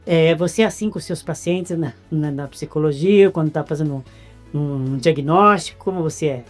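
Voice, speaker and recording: A woman's voice: low-pitched, moderate pace, soft background music. A woman with a Brazilian accent doing a casual, chatty interview, often repeating words as she searches for the phrase.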